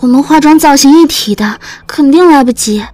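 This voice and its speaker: A woman's voice: high-pitched, moderate pace, darkish tone, no echo, faint music behind, natural voice. A woman voicing a worried young woman at a wedding in an audiobook drama.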